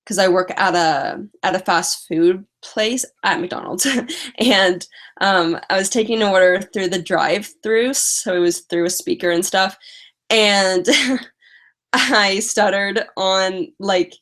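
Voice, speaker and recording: A woman's voice: mid-range voice, fairly even intonation, takes it slowly, fairly bright tone. A young woman vlogger chatting casually on a live stream, stuttering and repeating the first sounds of words.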